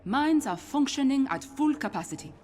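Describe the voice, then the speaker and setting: An actress playing a composed, dutiful royal aide in a video game. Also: female voice, sits high, expressive, normal speed, darkish tone. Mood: composed, respectful.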